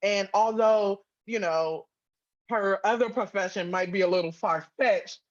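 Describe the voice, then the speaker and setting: A woman's voice: mid-range voice, a little slow. Chatty woman, slightly dull audio. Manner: animated, informal, frequent "like". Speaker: guest, audio drama writer and producer. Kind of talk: casual interview chat.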